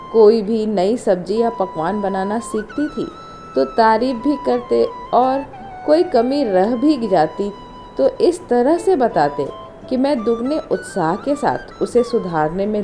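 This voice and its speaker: Female narrator for emotional family drama story, unhurried and expressive, medium pitch, clear music bed. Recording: music under the voice. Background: instrumental music.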